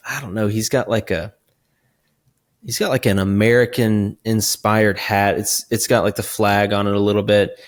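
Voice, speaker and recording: A man co-hosting a casual podcast, telling stories about his week in a relaxed, rambling way with dry humor. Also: fairly low pitch. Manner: relaxed storyteller, many ums and likes, dry humor. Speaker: podcast co-host. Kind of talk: casual chat.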